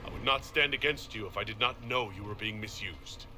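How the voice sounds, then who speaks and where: An actor playing a solemn, earnest warrior who speaks formally in a video game drama. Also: male voice, on the low side, fairly quick, noisy background, lightly acted.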